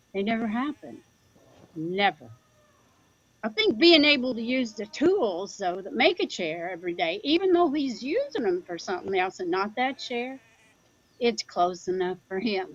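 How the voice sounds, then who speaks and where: A woman's voice: a little high, no room sound, lo-fi sound. A woman playing a plain-spoken rural Midwestern mother in an audio drama, dry, folksy and teasing with her grown son. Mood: wistful. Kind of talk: audio drama acting, conversational.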